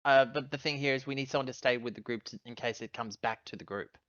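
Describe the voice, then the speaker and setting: A man's voice: medium pitch, fast talker, a bit bright, dry recording. A man chatting casually as a player in a tabletop roleplaying game. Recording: slightly dull, dry close recording.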